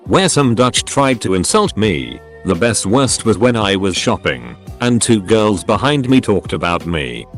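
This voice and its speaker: A man reading short reader-submitted stories aloud as the narrator, in a wry storytelling manner. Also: fairly low pitch. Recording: light music under the voice.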